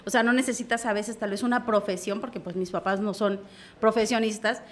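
A woman, a business consultant guest telling stories in a lively, chatty way with frequent tag questions. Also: medium pitch, fairly quick, slight background noise. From Mexico. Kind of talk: casual interview storytelling.

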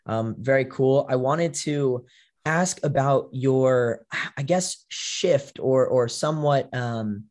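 A young American man hosting a podcast and interviewing in a casual way, his voice a little hoarse. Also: medium pitch, takes it slowly, a little mellow. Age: young adult. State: slightly hoarse. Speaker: podcast and college radio host. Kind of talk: casual show presenting and interviewing.

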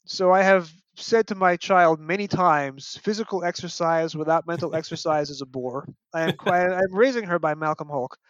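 Higher-voiced man, opinionated storyteller. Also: normal speed, few pauses, fairly bright tone, dry recording. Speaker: podcast guest, fan and parent. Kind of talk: casual book discussion. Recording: dull, dry lo-fi audio.

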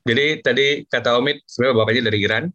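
A man co-hosting an interview, asking questions in halting English and summing up in Indonesian with lively energy. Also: medium pitch, muffled recording. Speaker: podcast co-host. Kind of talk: bilingual interview chat.

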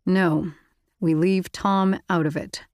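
A woman voicing a calm, firm teenage boy who leads his friends, in a storybook reading. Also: on the low side, normal speed, dry recording, studio quality, natural voice. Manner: firm, steady.

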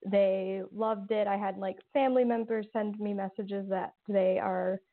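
A woman talking as an interview guest, circling her answers with plenty of fillers and restarts. Her voice sits at an ordinary middle pitch. It comes through a narrow, tinny phone-like line. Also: fairly even intonation, unhurried. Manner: rambling, many fillers and restarts. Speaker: podcast creator and guest.

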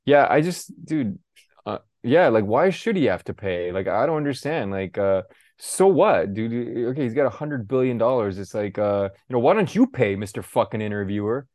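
A man's voice: medium pitch, expressive, a little mellow, no room sound, studio quality. A young man in casual podcast chat, blunt and profane, ranting with lots of fillers. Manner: blunt, profane, fast-shifting rants. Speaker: podcast co-host.

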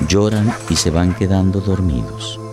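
A man's voice: low-pitched, animated, measured pace. A man reading dramatic scripted narration for a radio drama. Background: music.

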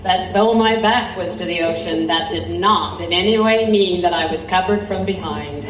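A woman's voice: on the low side, normal speed, a little hiss or hum, lightly acted. A woman playing an intrepid reporter who recounts her adventure in wry, vivid audio drama narration. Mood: tense. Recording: roomy, slightly distant over music.

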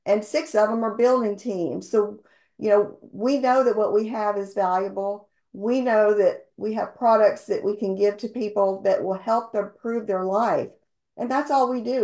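Middle-aged woman, slightly hoarse, earnest rambling motivational team-call speaker. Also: mid-range voice, warm tone. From based in Houston, Texas. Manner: earnest, rambling, with many ums and restarts. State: slightly losing her voice. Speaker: insurance agency owner sharing sales tips.

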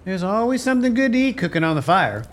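A man playing a resigned, plain-spoken local living rough in a radio play, with homespun country phrasing. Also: high-pitched, very animated, fast talker. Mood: wistful.

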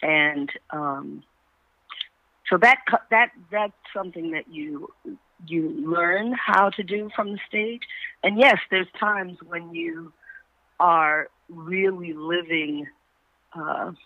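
A woman, a stage actor being interviewed, talking reflectively and searching for words in a measured way. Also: lowish voice, dry recording. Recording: dull, band-limited call audio.

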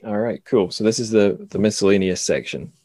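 Male podcast host, young adult, notably low pitch, informal conversational delivery, very dry recording. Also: brisk, dark tone, no room sound. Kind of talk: casual interview chat.

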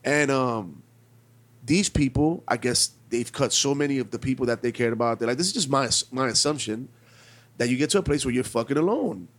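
A man's voice: mid-range voice, a little fast, fairly bright tone. A man in his late thirties talking bluntly in a casual podcast chat, swearing freely and hammering his points home. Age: 30s. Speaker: podcast co-host.

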